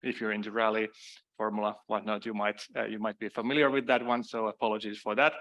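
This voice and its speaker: A man's voice: fairly low pitch, flat delivery, fast, fairly bright tone. A middle-aged man with a Finnish accent, from Finland, giving a conference talk with many fillers, restarts and self-deprecating asides. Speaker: conference speaker.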